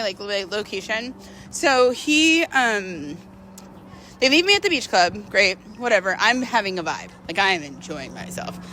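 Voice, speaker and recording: Young female vlogger, higher-pitched and bright, slangy casual storyteller, some street-like noise behind her. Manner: informal and slangy, with frequent hedging asides. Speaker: vlogger telling a personal story.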